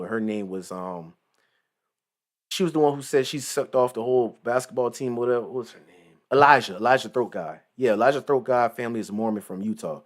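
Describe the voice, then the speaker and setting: A man in his late twenties giving rambling, slangy casual commentary with lots of asides. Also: medium pitch. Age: 20s. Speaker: commentary channel host.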